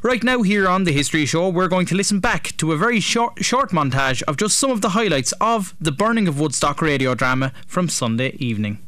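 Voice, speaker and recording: Higher-voiced Irish male radio host. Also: animated, brisk, hardly any pauses, a bit bright, no room sound. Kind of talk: scripted radio presenting.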